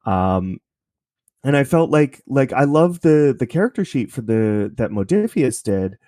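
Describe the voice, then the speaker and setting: A man's voice: medium pitch, big pitch swings, measured pace, warm tone, no room sound, studio quality. A man talking casually as an interview guest, explaining things in an informal way. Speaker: interview guest, podcast creator.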